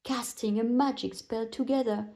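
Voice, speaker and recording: Woman voicing kindly Madame Gazelle, a high-pitched teacher. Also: fairly even intonation, normal speed, vocal fry, mellow. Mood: instructing. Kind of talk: children's storybook read-aloud.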